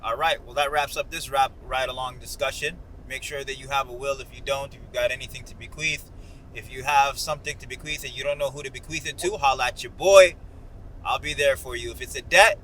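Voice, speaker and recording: A man with a bright, forceful voice talks casually as he hosts a ride-along vlog. He explains things in a slangy, joking way, with some car noise around him. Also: medium pitch, steady tone, brisk, rough recording. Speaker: host of a ride-along video. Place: inside a moving car. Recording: clear car and outdoor noise. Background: car and road noise.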